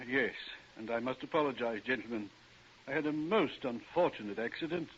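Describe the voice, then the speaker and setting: An actor playing a sick, courteous, apologetic doctor in a 1940s radio drama. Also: male voice, mid-range voice, a little fast, natural voice. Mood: weak, apologetic. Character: ailing doctor, courteous and apologetic, a gassed war veteran. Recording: muffled, noisy vintage radio recording.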